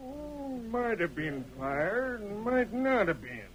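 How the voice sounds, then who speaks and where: A man's voice: high voice, very animated, takes it slowly, exaggerated voice. An actor playing a shifty, rustic Old West lawman with folksy talk in an old-time radio western. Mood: evasive. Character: shifty, drawling crooked Old West sheriff and cronies. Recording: noisy old radio recording.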